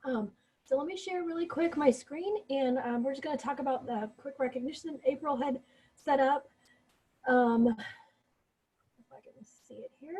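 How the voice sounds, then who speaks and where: A woman's voice: high-pitched, unhurried, pauses often, mellow, low-quality recording. A woman losing her voice, co-hosting a team video call with upbeat, encouraging talk full of fillers. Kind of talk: casual motivational team talk.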